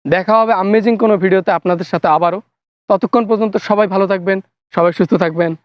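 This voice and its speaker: A man's voice: high-pitched, fairly even intonation, fast talker, clean tone, dry recording, dull audio. A man with a Bangladeshi accent hosting a satirical cartoon skit, speaking in a scolding, sarcastic way. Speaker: parody channel host, accuser in skit.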